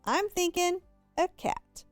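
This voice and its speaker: A woman's voice: very high-pitched, expressive, slow pace, dry recording, soft background music, voice pushed up, heavily acted. A woman voicing an eager little girl pleading for a pet in a storybook. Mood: coaxing.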